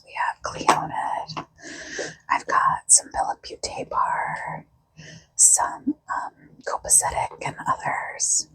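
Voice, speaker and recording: A woman who has lost her voice to a cold, talking casually in a breathy, husky whisper as she thinks aloud while hosting a hobby vlog. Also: on the low side, a bit bright, rough recording. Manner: informal, unhurried, musing. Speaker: host of a makeup video.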